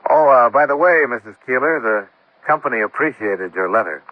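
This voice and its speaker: An actor playing a wry, businesslike American insurance investigator in 1950s radio detective style. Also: male voice, medium pitch, lively intonation, fairly quick, lightly acted. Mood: courteous, probing. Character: brisk, probing male freelance insurance investigator, wry and businesslike. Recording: muffled old radio, faint music.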